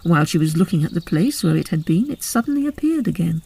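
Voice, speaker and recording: A woman giving an expressive, theatrical reading of literary narration and verse. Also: lowish voice, quick pace, creaky, slightly rough, rough recording. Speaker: narrator and poetry reader. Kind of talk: literary narration and dramatic recitation.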